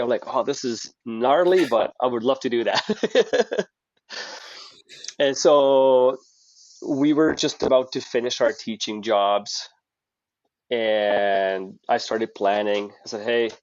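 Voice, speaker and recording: A man's voice: mid-range voice, darkish tone, no room sound. A middle-aged man from Hungary, chatting as a guest on a podcast and telling long stories with lots of restarts. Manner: chatty storyteller with frequent restarts. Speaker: guest, company founder. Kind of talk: casual podcast interview. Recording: dull lo-fi dry audio.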